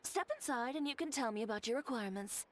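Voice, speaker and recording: Game character, actress as perceptive female tailor: higher voice, animated intonation, polite and gently persuasive. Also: fast, slight background noise, close to an everyday voice. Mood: encouraging, assured. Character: poised, perceptive woman tailor, gently coaxing a customer. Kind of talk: scripted video game character dialogue.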